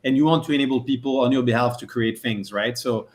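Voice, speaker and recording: A man with a strong French accent speaks casually as a panel guest. He fills his sentences with hesitations and self-corrections, at an ordinary middle pitch. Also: quick pace. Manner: hesitant, many fillers and restarts. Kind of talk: casual video-call chat.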